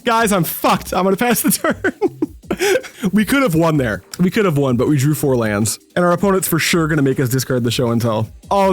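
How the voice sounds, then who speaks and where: A young man hosting his own card-game channel, talking through plays in a casual, energetic, self-deprecating way that jumps up into excited yelps. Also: fairly high pitch. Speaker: gaming channel host. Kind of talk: casual gameplay commentary.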